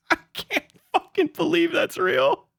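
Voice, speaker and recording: A man doing a casual livestream reaction in an animated, incredulous and profane way, breaking easily into laughter. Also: very high-pitched, takes it slowly, gravelly. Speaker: livestream host. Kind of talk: casual livestream reaction, reading messages aloud with profane commentary.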